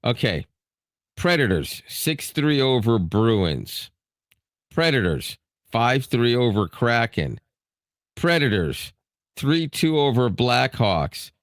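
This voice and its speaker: A man co-hosting a casual podcast, animated and teasing, talking sports and tech. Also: slow pace, dry recording, clean studio sound. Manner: animated, teasing, jumps up in pitch when ribbing. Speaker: podcast co-host. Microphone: studio microphone.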